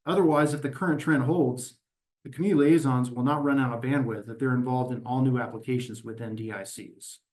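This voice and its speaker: A man speaking as an advocacy representative, delivering prepared testimony at a regulatory hearing in a measured, methodical and formal way. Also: medium pitch, darkish tone. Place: virtual meeting.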